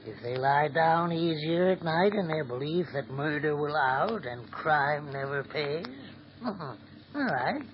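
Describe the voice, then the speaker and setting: A gloating old crime boss. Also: male voice, on the high side, expressive, crowd noise. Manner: gloating, chuckling, slow and deliberate. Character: scheming older male crime boss. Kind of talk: 1940s radio acting. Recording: dull old radio broadcast with faint hiss.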